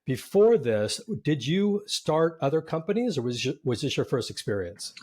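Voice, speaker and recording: A man's voice: on the high side, sing-song, normal speed, darkish tone. A man hosting a startup podcast, interviewing a founder in an upbeat, conversational way. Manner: upbeat, scripted intro then conversational. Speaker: podcast host.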